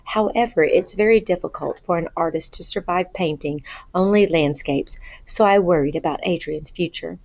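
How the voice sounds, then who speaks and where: A woman reading a children's story aloud in an expressive, deliberate storytelling manner. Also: fairly low pitch, creaky. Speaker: storybook reader. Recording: boxy roomy sound, dull lo-fi.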